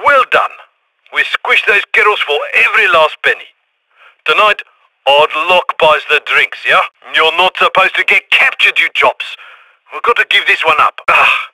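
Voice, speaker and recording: An actor playing a cheerful heist handler, calling out quick game voice lines full of slang and tag questions. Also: male voice, sits high, pushed and bright, dry recording, heavily acted, low-quality recording. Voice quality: chirpy, slangy, ends lines with "yeah?". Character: cheerful adult male heist handler on radio.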